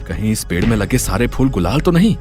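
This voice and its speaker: A man acts out a poor, kindly woodcutter in a storybook tale. He is earnest and wide-eyed, with a very lively pitch. Music plays clearly behind the voice. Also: fairly quick. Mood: amazed. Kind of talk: Hindi moral story voice acting.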